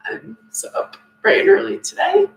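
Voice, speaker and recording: A young woman from Maine hosting a casual live Q&A, chatty and upbeat with lots of ums. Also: moderate pace. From Maine, USA. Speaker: teacher recruiter hosting a live Q&A. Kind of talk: casual livestream chat answering comments. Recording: echoey room.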